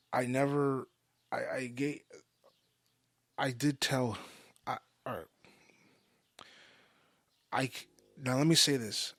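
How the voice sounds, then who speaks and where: Guy in his 20s doing a confessional solo podcast, kinda halting, repeats himself, dry room. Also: medium pitch, fairly bright tone, dry recording. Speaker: podcast host. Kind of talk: personal monologue, confessional storytelling.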